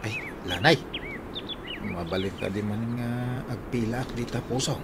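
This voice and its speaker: An actor with an Ilocano accent playing a lovelorn young bachelor in a radio comedy drama. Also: male voice, on the low side, normal speed, vocal fry, heavy background noise. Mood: plaintive. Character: lovelorn young unmarried man courting a girl.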